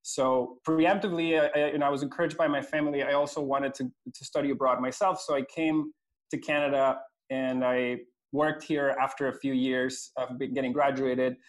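A man from Venezuela, a company president answering interview questions earnestly, with frequent pauses and restarts. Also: mid-range voice, little pitch movement, poor audio.

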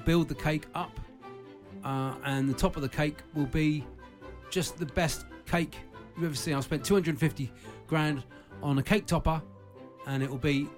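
A man voicing a boastful, self-obsessed baking contestant who brags loudly and repeats himself. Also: a little high, lightly acted. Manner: bragging, repetitive, loud.

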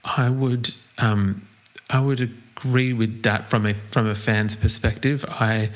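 A man interviewing a musician he has long been a fan of, speaking haltingly with many ums. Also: on the low side, steady tone. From Australia. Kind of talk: interview questions.

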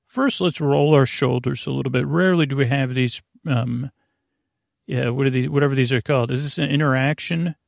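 A man hosting a bedtime podcast in a creaky voice, meandering and halting through soothing, rambling tangents. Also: mid-range voice, dry recording, clean studio sound. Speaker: podcast host.

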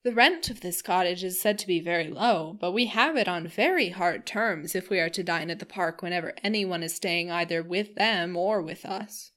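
A woman's voice: lowish voice, moderate pace, hardly any pauses, fairly bright tone, lightly acted. A woman reader voicing a sensitive young woman complaining indignantly.

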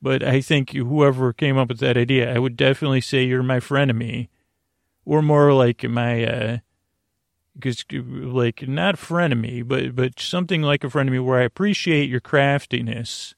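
A man hosting a sleep podcast with a rambling bedtime monologue, meandering and halting, repeating himself and trailing off. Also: mid-range voice, fairly even intonation, creaky, dry recording, studio quality.

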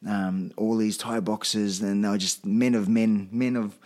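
A man's voice: lowish voice, fairly even intonation, a little slow, a little mellow. A middle-aged man with an Australian accent, telling personal anecdotes as a guest in a hesitant, modest, casual way. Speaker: podcast guest, veteran fighter.

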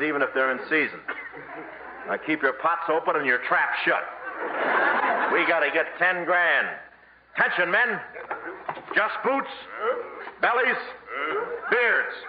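A man's voice: on the high side, unhurried, pauses often, dull audio, natural voice. An actor playing a brash, wisecracking, bossy con man in slangy 1950s radio comedy style. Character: brash fast-talking male con man schemer, wisecracking ringleader. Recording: echoey, noisy old radio broadcast recording.

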